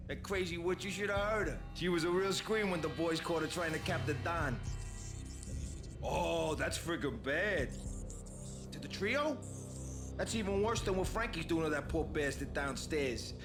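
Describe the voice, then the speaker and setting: An actor playing a callous, gossipy mobster in a video game, chattering casually like a street tough. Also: male voice, a little high, moderate pace, natural voice. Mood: callous, amused. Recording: music behind the voice.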